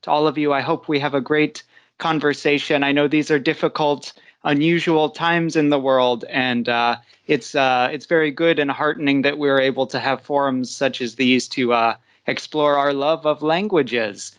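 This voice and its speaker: A man teaching an online English lesson, explaining step by step in an unhurried way with frequent "uh"s over a video call. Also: mid-range voice, fairly even intonation, a bit bright, clean tone. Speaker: guest teacher.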